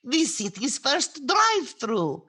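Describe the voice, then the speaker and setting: A woman's voice: sits high, slow pace, slightly rough, exaggerated voice. A woman playing a long-suffering, complaining housewife with inverted phrasing, in 1940s radio comedy style. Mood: droll. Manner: inverted syntax, dramatic deadpan punchlines. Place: indoors on a video call.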